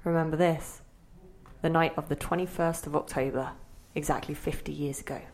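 A woman's voice: deep voice, fairly even intonation, normal speed, a little mellow. A woman acting a mysterious guide to memories in an audio play, dry, playful and conversational, forever questioning. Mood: musing, playful.

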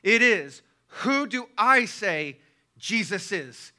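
A man preaching a sermon as a pastor, loud and emphatic, with deliberate phrasing and rhetorical repetition. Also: high-pitched, sing-song. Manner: impassioned, emphatic, yelling.

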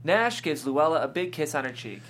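A woman's voice: deep voice, very animated, normal speed. A woman reading stage directions as scripted narration at a table read. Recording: clear background hum and noise.

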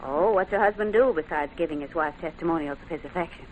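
A woman's voice: deep voice, quick pace, natural voice. A woman playing a detective's wry, curious companion with dry, teasing lines in an old radio drama. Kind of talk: 1940s radio drama acting. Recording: noisy old radio broadcast recording.

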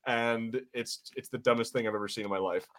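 Blunt man with a bright, forceful voice. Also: mid-range voice, fairly even intonation, fairly quick. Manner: blunt, informal, swears. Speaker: podcast co-host. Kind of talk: casual opinionated banter.

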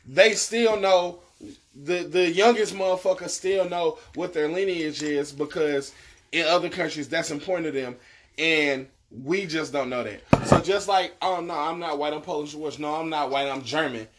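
A man's voice: fairly high pitch, normal speed. Laughing, profane podcast co-host man. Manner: loose, profane, laughing a lot. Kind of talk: casual group chat.

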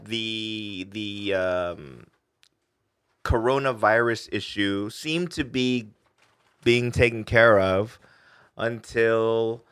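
A man's voice: on the low side, fairly bright tone, smooth, no room sound. A man co-hosting a podcast as a stand-up comic, chatting casually with slang and swearing. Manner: slangy, profane. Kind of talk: casual chat.

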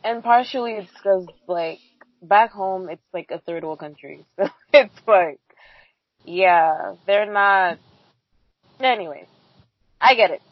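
A woman's voice: medium pitch, dry recording, highs cut off. Dry-sounding woman co-hosting a chatty podcast. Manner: laughing, teasing, quick asides. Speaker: podcast co-host. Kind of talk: casual podcast chat.

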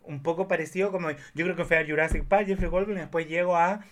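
Male, thirties. Fairly high-pitched, expressive, rambling podcast conversation with a camp touch. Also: fast, a loud crowd. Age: 30s. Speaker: podcast guest or co-host discussing films. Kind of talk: casual conversational chat.

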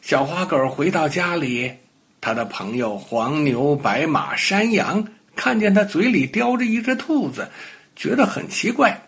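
A man tells a children's story in a high voice. His narration is animated, with big swings in pitch and a slow, measured pace that suits young listeners.

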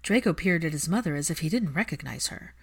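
Woman reading a fanfic audiobook, on the lower side, scripted storytelling. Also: expressive, fast talker, husky. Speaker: fan fiction narrator.

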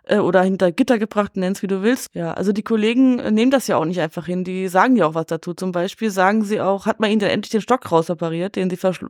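A woman's voice: medium pitch, darkish tone, dry recording, studio quality. A woman chatting casually about films in an emphatic, colloquial way full of slang. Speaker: podcast guest. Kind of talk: casual film discussion.

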